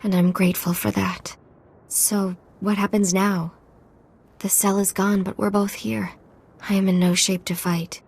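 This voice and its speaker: An actress playing a weary, remorseful but defiant female defector in a video game, with a breathy voice. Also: mid-range voice, flat delivery, measured pace, a little mellow. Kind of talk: video game drama acting.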